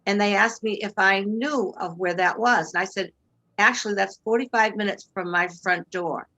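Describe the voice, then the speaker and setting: An older woman with a Boston accent speaks over a webcam from a room at home. She tells her story earnestly and with strong faith, in a voice of ordinary middle pitch. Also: moderate pace, bright, forceful tone. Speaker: guest. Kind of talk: personal testimony in interview. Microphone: video call webcam.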